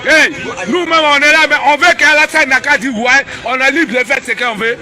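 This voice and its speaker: A man's voice: very high voice, steady tone, normal speed, sharp tone, some chatter behind. A man with an Ivorian accent speaking colloquially and insistently, in an agitated, confrontational style straight to the listener. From Ivory Coast. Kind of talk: agitated informal street talk to camera.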